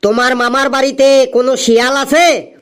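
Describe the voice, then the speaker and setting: A man's voice: extremely high pitch, level tone, normal speed, fairly bright tone, clean tone. A man voicing an exasperated, scheming schoolteacher in a comedy cartoon, by turns scolding and coaxing. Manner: scolding, then falsely coaxing.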